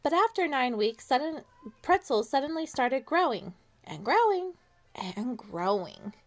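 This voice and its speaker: A woman reading a picture book aloud to young children in an expressive way, with dramatic pauses and questions to her listeners. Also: high voice. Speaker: children's storytime reader.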